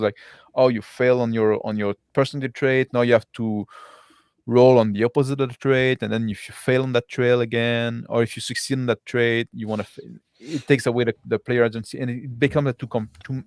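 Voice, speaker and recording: A man's voice: mid-range voice, smooth, no echo, studio quality. A man hosting a roleplaying stream as game master, chatting casually and rephrasing as he goes. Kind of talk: casual unscripted post-game chat.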